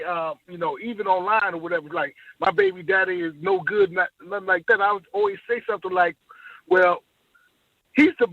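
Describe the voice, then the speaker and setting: A man calling in to a talk show, speaking in a rambling, halting way over a phone line. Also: high voice, vocal fry, no echo. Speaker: caller. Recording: tinny dry phone line.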